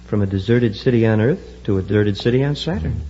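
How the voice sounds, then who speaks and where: An actor playing an eager, deferential young space cadet with boyish, earnest exclamations in a 1950s radio serial. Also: male voice, fairly low pitch, a little fast, mellow, natural voice. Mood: amazed, curious. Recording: muffled, noisy old radio recording with hum.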